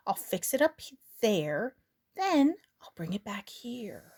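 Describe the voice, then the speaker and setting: A woman reading a children's storybook, voicing a sly, smug, grumpy male creature in a drawn-out, mock-sweet way. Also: medium pitch, very animated, darkish tone, breathy edge, heavily acted. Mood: mock-sweet lying. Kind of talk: children's storybook read-aloud.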